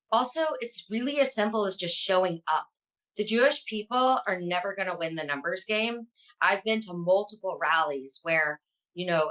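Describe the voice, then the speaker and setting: Bright, low-pitched woman. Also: poor audio. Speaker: interview guest, online advocate and influencer. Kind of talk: conversational interview answers.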